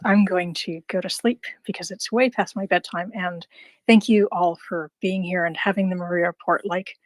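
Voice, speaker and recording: A woman's voice: mid-range voice, no room sound, rough recording. A woman in a casual online audio room, thanking the hosts and retelling a talk, full of fillers and restarts. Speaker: listener asking a question.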